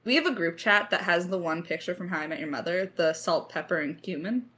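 A woman's voice: lowish voice, brisk, fairly bright tone. A woman co-hosting a podcast Q&A, talking in a chatty, informal, joking way. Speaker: podcast co-host and audio drama creator. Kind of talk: casual Q&A chat.

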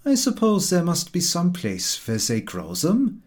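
A man's voice: high voice, wildly swooping pitch, a little slow, pitched above the performer's own voice, heavily acted. A man voicing a sardonic, world-weary carriage horse with a dry, disdainful manner in a storybook reading. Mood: mock amazement.

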